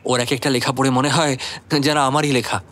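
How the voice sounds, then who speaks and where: A man's voice: medium pitch, fairly even intonation, a little fast, a bit bright, natural voice. A man in a radio drama playing an earnest, dreamy young writer, respectful and emotive in his speech. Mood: wistful. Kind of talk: Bengali radio drama acting.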